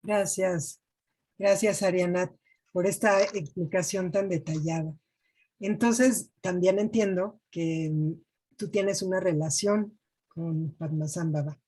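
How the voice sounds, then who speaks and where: A woman interviewer with a lowish, slightly husky voice, asking her guest reflective questions. She listens closely and phrases everything with care. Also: fairly even intonation, takes it slowly, mellow, rough recording.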